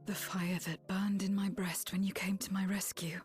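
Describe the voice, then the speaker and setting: A woman voicing a guarded, lonely young woman warrior in a game cutscene, speaking in a subdued, breathy half-whisper. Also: mid-range voice, little pitch movement, faint music behind, natural voice. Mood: moved. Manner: subdued, reflective, measured. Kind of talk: video game cutscene dialogue.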